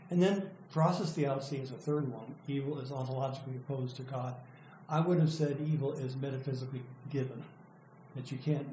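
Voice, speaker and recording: Hoarse older man lecturing, mellow voice. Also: medium pitch. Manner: thoughtful, halting, with frequent restarts and fillers. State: losing his voice, clearing throat. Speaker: professor presenting a student's work. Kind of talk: academic lecture. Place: lecture room. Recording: echoey room with faint noise.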